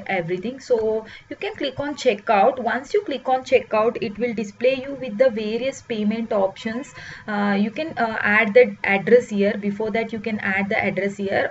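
A higher-pitched woman guides viewers through a web app demo step by step, often pausing on "uh". The recording sounds dull and lo-fi, with a faint hiss behind her voice. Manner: explains step by step with frequent "uh" pauses. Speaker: software demo presenter. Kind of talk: screen-recorded product walkthrough.

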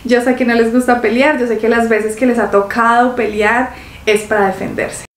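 A woman's voice: a little high, level tone, normal speed, roomy sound, faint music behind. A young woman from Colombia with a Bogotá accent, chatting playfully to her viewers from the sofa in her living room. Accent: Bogotá Colombian Spanish. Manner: chatty and playful, with affectionate diminutives. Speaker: reaction video host. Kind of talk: casual chat to viewers.

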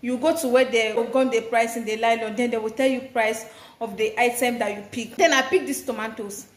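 A woman's voice: a little high, steady tone, a little fast. A young woman, chatting to camera while she cooks in her home kitchen. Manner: chatty, talks straight to camera. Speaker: vlogger. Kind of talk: casual chat while cooking. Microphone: phone camera at arm's length. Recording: echoey tiled kitchen.

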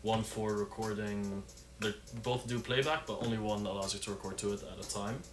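A man's voice: lowish voice, fairly quick. A young man giving a casual, laid-back how-to demonstration in a small room at home. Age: young adult. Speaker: musician giving a tutorial. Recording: roomy sound with loud music. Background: loud music.

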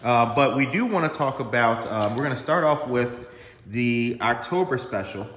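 A man's voice: medium pitch, animated, moderate pace, a bit bright. A man hosting a casual morning show, interviewing guests in a large indoor room. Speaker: host. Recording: echoey reverberant room.

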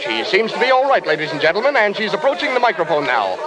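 An old radio recording with a crowd behind. an excitable, high-voiced male premiere reporter, giving live commentary in 1930s radio drama manner. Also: fast. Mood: hushed, concerned.

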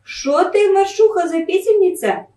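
A haughty, mocking young peasant sister from a folk tale, acted by a woman. Her high voice swoops in Northern Russian dialect, a little distant in an echoey room. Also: expressive, moderate pace, mellow. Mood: scornful. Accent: Northern Russian Pinega dialect.

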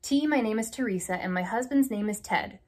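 A young woman reading a children's alphabet picture book aloud, slow and deliberate, stressing each opening letter, in a small room. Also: a little high, a little mellow. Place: small room indoors by a curtained window.

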